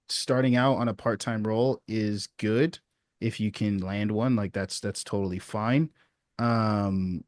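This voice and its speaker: A man hosting a group call as a sales coach, giving relaxed, encouraging feedback with a casual manner. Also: medium pitch, dry recording, studio quality.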